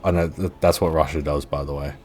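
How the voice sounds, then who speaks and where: A man's voice: very deep voice, fairly even intonation, fast talker, darkish tone, husky. A man narrating an explainer in a casual, informal and offhand way. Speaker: video host and narrator. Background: music.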